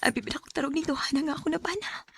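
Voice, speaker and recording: A woman acting a mistreated, soft-hearted wife and mother in a radio drama, pleading with a Visayan Filipino accent. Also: high voice, rapid-fire, creaky, heavy background noise. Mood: reflective, troubled.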